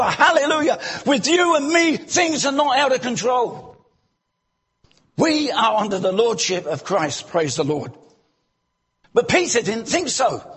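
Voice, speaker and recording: A man preaching a sermon in a church, animated, breaking into loud exclamations and asking the congregation rhetorical questions. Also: extremely high pitch.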